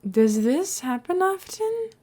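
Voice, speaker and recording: A woman's voice: very high-pitched, big pitch swings, slow, mellow, pitched above the performer's own voice, full character voice. A woman reading the part of an ordinary, skeptical man in an audiobook, nervous and hesitant in manner. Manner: nervous, stammering, questioning.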